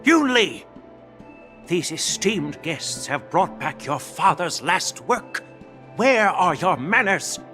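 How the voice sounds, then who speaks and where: An actor playing a dignified elderly grandfather and general in a video game, formal, measured and authoritative. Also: high-pitched, very animated, pushed and bright, soft background music, heavily acted. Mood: stern reproach.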